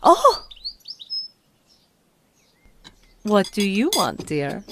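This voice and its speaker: A woman performs a haughty aristocratic mother in an audio drama. She is imperious, refined and arch, with lively swings in a medium-pitched voice. Faint birdsong sits in the background. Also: slow, background noise. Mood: arch, curious. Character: haughty aristocratic mother, sharp-tongued and proper.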